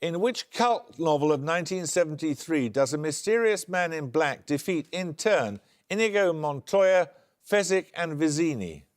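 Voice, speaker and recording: An older man runs a studio quiz show with a lively, rising and falling delivery. His voice sits a little high for a man, and he reads out questions in a crisp, brisk way. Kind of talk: scripted quiz hosting. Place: television studio.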